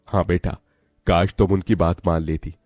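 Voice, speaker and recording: An actor playing a greedy, falsely affectionate middle-aged uncle in a cartoon moral story, smooth-talking and calculating. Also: male voice, sits low, fairly even intonation, moderate pace, darkish tone, no echo, clean studio sound. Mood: menacing.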